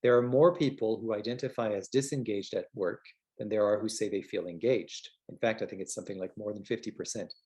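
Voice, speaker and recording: Halting, conversational male podcast host. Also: fairly low pitch, a little mellow. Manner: halting, searching for words, circling back.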